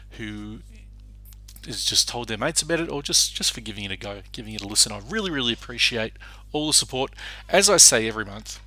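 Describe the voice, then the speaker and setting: A man's voice: mid-range voice, bright, forceful tone. A man hosting a music radio show, introducing songs in a chatty way with frequent fillers and restarts. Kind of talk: casual music show presenting.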